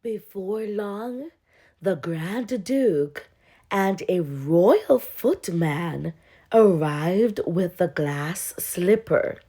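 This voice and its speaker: A woman narrates a children's storybook very slowly, pausing for drama. The voice is mellow and slightly husky, with expressive phrasing. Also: on the low side.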